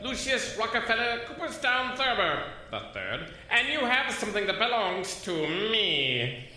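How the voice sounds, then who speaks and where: A man's voice: high-pitched, big pitch swings, measured pace, bright, forceful tone, heavily acted. A man acting in an audio drama as a pompous, haughty rich villain who speaks formally and is easily outraged. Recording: echoey cave-like reverb, faint noise.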